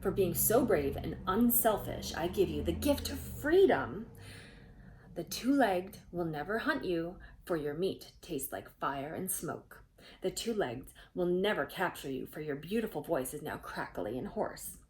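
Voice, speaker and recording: A woman voicing a solemn, kindly male sky spirit in a storybook, with measured pronouncements and some background noise. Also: on the low side, big pitch swings, darkish tone. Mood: solemn, consoling.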